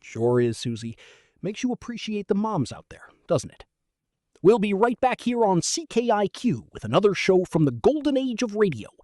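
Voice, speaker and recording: A man's voice: high voice, sing-song, moderate pace, warm tone, no echo, studio quality. A man playing an earnest small-town radio news anchor, reading a scripted bulletin.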